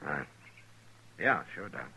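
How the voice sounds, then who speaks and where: An actor playing a steady, considerate American frontier lawman with halting, laconic speech in a 1950s radio western. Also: very deep voice, creaky, heavily acted. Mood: concerned, tactful. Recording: faintly noisy old radio recording.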